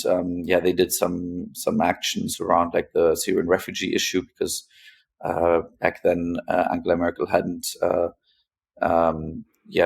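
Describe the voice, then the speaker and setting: Deep, gravelly man speaking hesitantly. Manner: hesitant, pausing often between phrases. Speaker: podcast guest, philanthropist. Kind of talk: interview conversation, recounting own experience.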